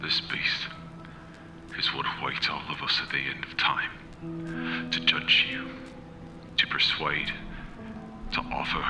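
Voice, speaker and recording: A man playing a doomed, remorseful scholar in a horror audio drama, giving a slow, grave, halting confession in a gravelly half-whisper. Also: a bass voice, heavily acted. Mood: grave warning. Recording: music playing under the voice. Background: loud music.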